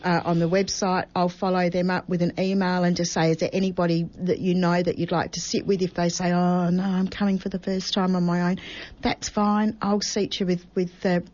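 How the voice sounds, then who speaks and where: A woman's voice: lowish voice, flat delivery, a little fast, a little mellow. A middle-aged woman, a singer in a vocal duo, explaining fluently in a casual chat. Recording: dull, dry studio sound.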